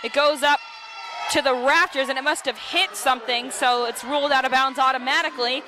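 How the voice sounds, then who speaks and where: High-voiced woman calling live sports. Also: fairly even intonation, a little fast, a crowd in the background, rough recording. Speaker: play-by-play sports announcer. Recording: clear gymnasium and ballpark ambient noise.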